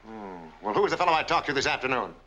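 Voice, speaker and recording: Fast, blunt film noir investigator. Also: male voice, medium pitch, lively intonation, pushed and bright, poor audio. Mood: pressing, suspicious. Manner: blunt, probing questions. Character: brisk, insistent male investigator. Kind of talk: 1940s film noir acting. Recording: vintage film soundtrack, faint noise.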